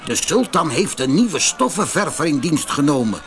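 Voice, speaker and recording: A man's voice: on the high side, very animated, moderate pace, faint music behind. A man voicing an old, grey-bearded merchant who speaks emphatically in a storybook tale. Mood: impressed. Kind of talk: storybook character voice.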